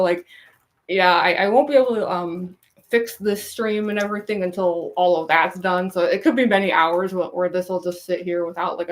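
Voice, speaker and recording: A young woman with a gruff, raspy voice and a goofy laugh, chatting casually with viewers on a livestream, rambling with lots of fillers. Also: medium pitch. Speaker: cosplay livestreamer.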